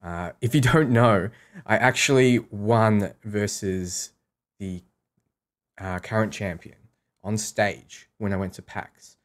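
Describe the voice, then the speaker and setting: A man's voice: fairly low pitch, takes it slowly. A man with an Australian accent hosting a livestream, chatting casually and thinking aloud in an informal way. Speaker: live streamer and host.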